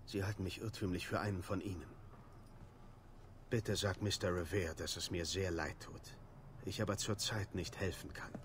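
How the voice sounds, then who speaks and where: An actor playing a resolute young warrior in a German video game dub, speaking in a serious, earnest way. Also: male voice, deep voice, steady tone, moderate pace, background noise, lightly acted. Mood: grave. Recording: game audio with music behind.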